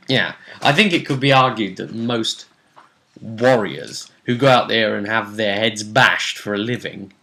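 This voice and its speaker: A man's voice: on the low side, measured pace, creaky, bright, forceful tone. A man talking casually and bluntly as a game master going over a tabletop role-playing session. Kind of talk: casual chat.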